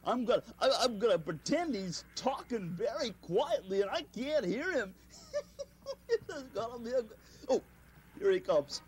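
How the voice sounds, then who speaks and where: High, giggly prankster puppet, swoops about, faint hiss. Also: male voice, very animated, moderate pace. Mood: scheming giggle. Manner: giggly, scheming.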